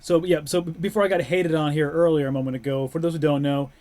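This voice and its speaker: A man's voice: fairly high pitch, steady tone, quick pace, darkish tone. Rambling webcast host man. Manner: rambling, many restarts and fillers. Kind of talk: casual webcast chat.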